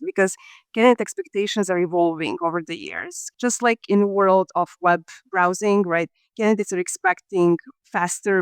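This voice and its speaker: Smooth-voiced Croatian-born woman guest. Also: fairly low pitch, no room sound. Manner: measured, businesslike explaining. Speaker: guest, talent acquisition leader. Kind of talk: podcast interview answers.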